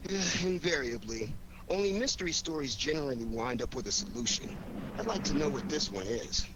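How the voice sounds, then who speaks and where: An actor playing a wry, confident, commanding amateur detective in a 1940s radio crime drama. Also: male voice, mid-range voice, expressive, natural voice. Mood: pensive, wry. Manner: wry, quick-witted, commanding. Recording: noisy old 1940s radio recording.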